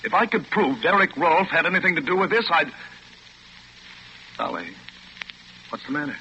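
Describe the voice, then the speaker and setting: Plucky young sweetheart, very bright. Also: male voice, fairly high pitch, animated, moderate pace. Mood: agitated. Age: young adult. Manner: eager, emphatic. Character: ardent young man, plucky, protective sweetheart. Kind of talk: 1940s radio drama acting. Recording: muffled, noisy 1940s radio recording.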